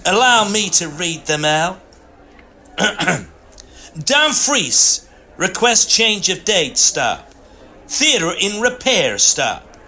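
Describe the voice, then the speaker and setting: An actor playing a fussy, formal Victorian assistant in a comic audio drama, with a precise, officious way of talking. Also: male voice, high voice, sing-song, very slow, long pauses, bright, forceful tone, a little hiss or hum, full character voice. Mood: fussy, anxious. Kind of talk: period comedy audio drama acting.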